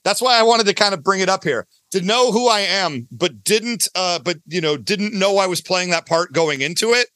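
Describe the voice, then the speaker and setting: A man's voice: sits high, animated, normal speed, hardly any pauses, sharp tone, no echo. A talkative, enthusiastic man chatting casually as a podcast guest, promoting his own work in a self-deprecating way. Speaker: podcast guest, audio drama creator.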